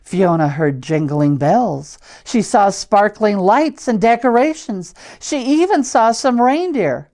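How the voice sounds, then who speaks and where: Older female picture-book reader, Midwestern US accent. Very measured pace, animated intonation, chatty with young listeners. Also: mid-range voice. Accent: American Midwestern. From Iowa, United States. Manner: simple, deliberate wording, asks listeners questions. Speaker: church storyteller reading picture books to children. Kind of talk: children's read-aloud with chatty asides.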